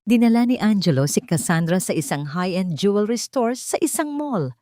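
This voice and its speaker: A woman storyteller reading a scripted romantic drama with deliberate, dramatic phrasing. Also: mid-range voice, big pitch swings, dark tone, dry recording, clean studio sound.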